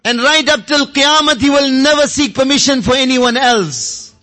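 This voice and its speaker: A man delivers an Islamic sermon in an extremely high, forceful voice. He speaks with open emotion and repeats phrases for emphasis, in the impassioned style of a preacher. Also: moderate pace.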